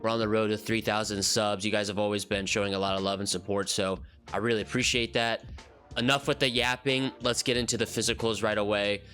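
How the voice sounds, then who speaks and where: Man, low-pitched, sharp bright tone, nasal from a cold, rambling game commentary with ums. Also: brisk, faint music behind. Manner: relaxed, rambling, frequent ums and restarts. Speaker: gaming channel host. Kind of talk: casual game commentary walkthrough.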